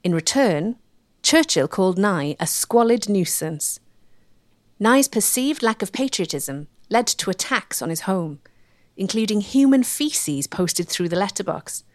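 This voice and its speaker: A woman reading scripted documentary narration in a measured, storytelling way. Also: mid-range voice, sing-song, dry recording. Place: dry studio voice-over.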